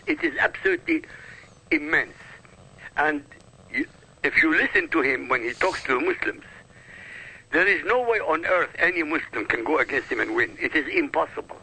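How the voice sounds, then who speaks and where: A man's voice: fairly high pitch, big pitch swings, moderate pace, gravelly, long pauses, sharp tone, husky, low-quality recording. A man speaking as a radio guest, a native Arabic speaker giving polemical commentary in an emphatic, oratorical manner.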